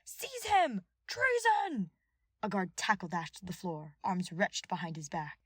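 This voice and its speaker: Husky woman narrating fiction. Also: lowish voice, fairly even intonation, moderate pace, a bit bright, no echo, studio quality. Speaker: audiobook narrator. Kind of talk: scripted dramatic fiction narration.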